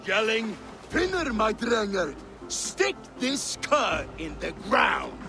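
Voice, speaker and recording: Brash Viking steward, belligerent game villain; high-pitched for a man, gravelly, music behind. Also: huge pitch swings, takes it slowly, bright, forceful tone, heavily acted. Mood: boastful, taunting. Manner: theatrical, taunting, gruff boasting. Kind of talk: dramatic video game acting with rhymed insults.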